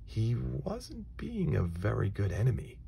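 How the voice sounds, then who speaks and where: A man reading a children's storybook aloud in a dramatic, expressive way, moving between hushed asides and emphatic phrases. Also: on the low side, unhurried, gravelly, slight background noise. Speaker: storybook reader.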